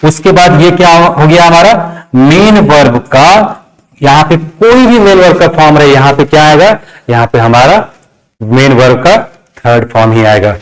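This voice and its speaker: A man's voice: a little high, animated, normal speed, rough recording. A man teaching a grammar lesson step by step, repeating phrases, asking and answering his own questions, and mixing in English terms. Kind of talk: classroom lesson, step-by-step explanation.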